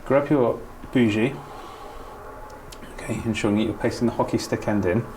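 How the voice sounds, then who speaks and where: A man's voice: mid-range voice, fairly even intonation, moderate pace, a little mellow, slight background noise. A middle-aged man, a doctor, giving spoken practical instruction in an informal, explanatory manner. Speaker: doctor giving a training demonstration.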